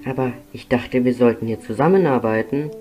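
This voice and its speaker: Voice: mid-range voice, fairly quick, dark tone, natural voice. Someone voicing an eager, naive young cartoon tank engine. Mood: earnest, apologetic. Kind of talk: animated children's cartoon fan dub. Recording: music under the dialogue.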